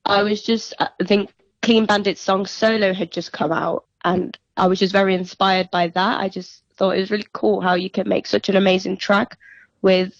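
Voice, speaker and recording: Young female singer-songwriter interviewee, casual and reflective, mid-pitched, over a dull lo-fi phone line. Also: little pitch movement, moderate pace, dry recording. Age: young adult.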